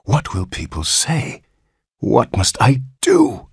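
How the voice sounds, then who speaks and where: A man's voice: mid-range voice, wildly swooping pitch, takes it slowly, creaky, husky, no room sound, studio quality, pitched above the performer's own voice, full character voice. A man voicing a frantic, horrified new father in a storybook, agitated and incredulous. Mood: horrified despair.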